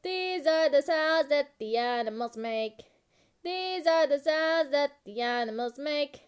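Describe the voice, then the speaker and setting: A woman delivering a children's animal-sounds rhyme in a simple, repetitive, deliberate sing-song. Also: high voice, expressive, soft background music.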